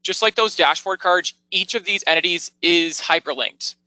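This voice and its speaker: A man narrating a product tour as a software demonstrator, explaining things step by step in a conversational, informal way. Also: sits high, moderate pace, no echo, rough recording.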